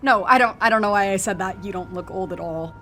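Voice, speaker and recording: A young woman acting a teenage girl in an audio drama. She sounds casual and youthful, breezy yet guarded, with a middle-pitched voice over faint music. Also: fast talker, fairly bright tone, natural voice. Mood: sheepish.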